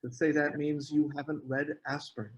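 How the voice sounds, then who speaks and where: A man's voice: fairly high pitch, little pitch movement, moderate pace, close to an everyday voice, poor audio. A man playing a prickly, snobbish, awkward American academic with a dry manner, in a screenplay table read. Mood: earnest.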